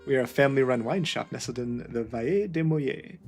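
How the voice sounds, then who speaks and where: A man's voice: medium pitch, fairly quick, darkish tone, faint music behind, close to an everyday voice. A man voicing a friendly male Chilean wine shopkeeper, chatty and polite.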